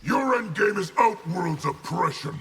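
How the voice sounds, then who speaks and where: An actor playing a resentful, menacing warlord general in a video game, with a gravelly voice and hostile, forceful delivery. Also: male voice, high-pitched, expressive, measured pace, full character voice.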